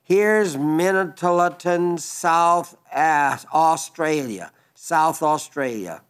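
A man in his nineties giving a broadcast sermon, reading listener letters in a gentle, rambling way with frequent restarts. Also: on the high side, extremely slow, clean tone. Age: 90s. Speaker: radio preacher.

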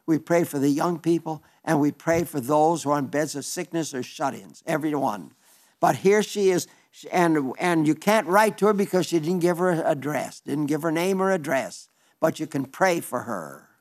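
A man's voice: fairly high pitch, expressive, normal speed, a little mellow. An elderly man preaching a folksy radio sermon, reading listener letters with chatty asides and small stumbles. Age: older.

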